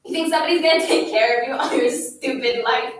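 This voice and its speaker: A woman's voice: on the high side, expressive, unhurried, fairly bright tone. A woman playing a bullying young schoolgirl in a dramatic monologue, scornful, taunting and relentlessly insistent. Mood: scornful, desperate. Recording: echoey room, distant mic, faint noise.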